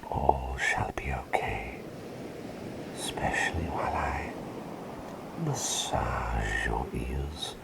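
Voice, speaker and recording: A man playing a gentle, attentive plague doctor in an ASMR roleplay, speaking in a breathy, soothing whisper with a polite, slightly hesitant formality. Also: rumbling low, slow, long pauses, very noisy, exaggerated voice. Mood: soothing, calming.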